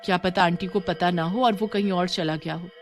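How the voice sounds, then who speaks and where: A woman's voice: on the low side, fairly even intonation, brisk, soft background music, close to an everyday voice. A woman voicing a young schoolgirl with an earnest, questioning manner in a horror story. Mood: puzzled. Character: young schoolgirl, puzzled and uneasy.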